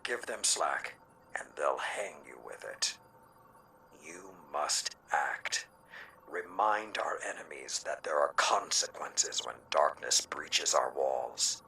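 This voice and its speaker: An actor voicing a grim, hard-bitten old war veteran in a video game, with a breathy growl and a stern, ominous, deliberate delivery. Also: male voice, extremely low pitch, bright, forceful tone, heavily acted. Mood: grim, urgent warning. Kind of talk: dramatic game cutscene monologue. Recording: distant voice with faint noise.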